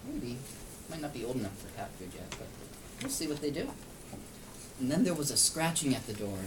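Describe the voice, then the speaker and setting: Male storyteller for a small child, animated and explanatory, picked up from a distance over background noise. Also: mid-range voice, fairly quick. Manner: explains and asks simple questions for a child. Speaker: read-aloud storyteller to a young child. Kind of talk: interactive picture-book reading.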